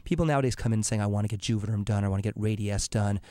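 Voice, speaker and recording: A man with a raspy, hoarse voice from laryngitis, explaining cosmetic treatments as a surgeon on a call-in radio show, with frequent ums and restarts. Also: lowish voice, very fast, dark tone, no echo, studio quality. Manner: explanatory, many fillers and restarts. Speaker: dermatologic surgeon, radio show guest expert.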